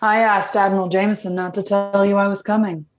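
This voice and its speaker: A woman's voice: medium pitch, flat delivery, fairly quick, lightly acted. An actress playing a composed, teasing female space officer in a comedic radio play. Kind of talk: comedic radio theater acting.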